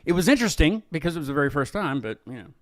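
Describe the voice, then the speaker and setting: A man's voice: on the high side, big pitch swings, rapid-fire, dry recording. A man casually hosting an interview show, rambling and correcting himself as he goes. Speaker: host of a music interview show.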